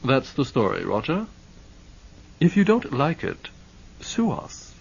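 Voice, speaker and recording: Brief: a man doing a cool, measured lawyer, husky and lively in pitch. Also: lo-fi sound, heavily acted. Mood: calm. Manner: measured, composed. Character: calm, smooth-talking male lawyer.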